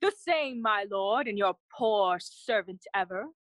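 A woman's voice: mid-range voice, animated, unhurried, a bit bright, no room sound. A woman playing a loyal, earnest young friend of a prince in a bilingual Shakespearean audio play, speaking formally. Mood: respectful. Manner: earnest, formal, clearly declaimed.

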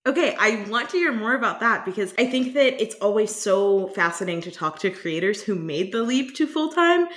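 A woman's voice: medium pitch, expressive, moderate pace, hardly any pauses, a bit bright. A woman hosting an interview podcast, upbeat and chatty, often restarting her sentences. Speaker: podcast host. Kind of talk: casual interview chat.

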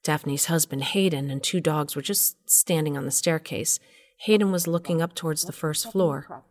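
A woman playing a devoted mother who narrates her story dramatically and deliberately in an audio drama. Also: low-pitched, close to an everyday voice. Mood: tense recounting.

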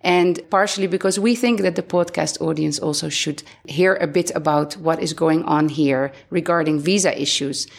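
A woman's voice: low-pitched, normal speed, creaky, few pauses. A woman from the Netherlands hosting a podcast, speaking in a conversational presenting style. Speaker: podcast host.

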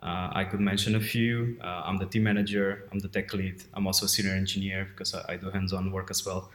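A man's voice: deep voice, little pitch movement, a little fast, fairly bright tone. A man from Portugal, answering interview questions conversationally as a guest. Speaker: guest, head of quality assurance. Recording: roomy, echoey sound.

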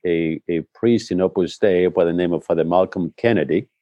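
A middle-aged man from Spain, whose first language is Spanish, speaking in a relaxed interview and telling his story thoughtfully, often restarting his sentences. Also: deep voice, a little fast, no room sound, muffled recording. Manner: reflective storytelling with frequent restarts. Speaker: head of school, guest. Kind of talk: conversational interview.

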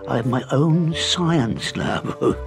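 A man voicing a shy, clever teenage schoolgirl who stammers and rushes with eager excitement. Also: mid-range voice, vocal fry, over music, voice pushed up, full character voice. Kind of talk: audiobook character reading.

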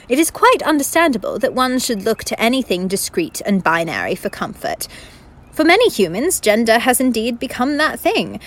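Analytical radio play narrator, played by a woman: female, learned, formal, lecturing, pitched a little high. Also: lightly acted. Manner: formal, didactic.

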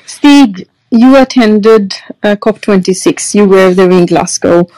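A woman's voice: mid-range voice, slow, mellow, dry recording, poor audio. A woman with a Norwegian accent hosting and moderating a live podcast panel, reading the introduction and handing over to speakers. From Norway. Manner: reads an introduction, then hands over to speakers.

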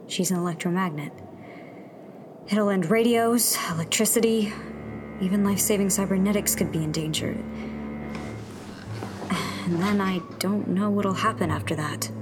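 A woman's voice: mid-range voice, background noise, lightly acted. A woman playing a hard-headed, pragmatic rebel fighter in a sci-fi audio drama, with a firm way of talking. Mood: sober, wary. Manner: firm, argumentative, pressing with questions. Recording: clear music bed behind.

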